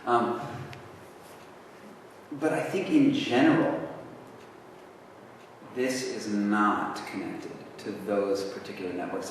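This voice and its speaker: A man answering audience questions at a university talk, casual and halting, with many restarts. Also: on the low side. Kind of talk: lecture Q&A. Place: university lecture hall. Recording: echoey hall from far off, faint noise.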